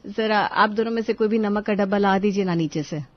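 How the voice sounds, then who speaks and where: A woman's voice: mid-range voice, brisk, lo-fi sound, natural voice. A woman voicing a teasing, nagging housewife and mother in a cartoon story, exclaiming and chiding. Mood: flustered.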